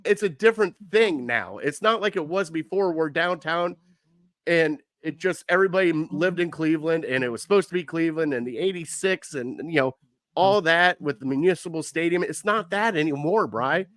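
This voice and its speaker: A man's voice: fairly high pitch, normal speed, a bit bright, no echo. A man from co-hosting a casual sports podcast, talking animatedly and acting out voices in the conversations he quotes. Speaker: podcast co-host.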